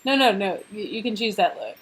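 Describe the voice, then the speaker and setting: A woman's voice: medium pitch, very animated, fairly quick, breathy edge. A woman chatting casually and playfully as she reacts to a story game she is playing with a co-host. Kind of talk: casual banter during a game playthrough.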